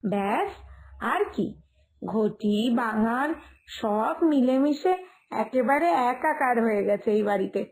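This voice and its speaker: A woman playing a reassuring elder sister-in-law in a cartoon village tale, explaining things and gently chiding. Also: a little high, expressive, slow pace. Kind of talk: Bengali cartoon village family tale acting.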